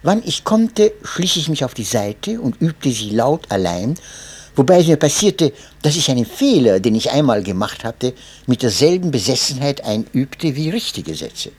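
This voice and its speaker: A man reading a memoir aloud as narrator, in an expressive and measured way. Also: mid-range voice, slightly rough. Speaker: audiobook narrator.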